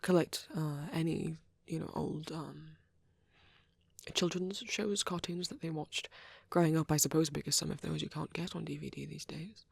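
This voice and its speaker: Shy vampire boyfriend performed by a woman for ASMR roleplay: breathy, creaky, nervous and halting, very dry intimate recording. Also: low voice, fairly even intonation, no room sound, exaggerated voice. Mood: shy, explaining. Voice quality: soft, husky, breathy. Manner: hesitant, stammering, self-doubting. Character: shy, nervous young male vampire on a date.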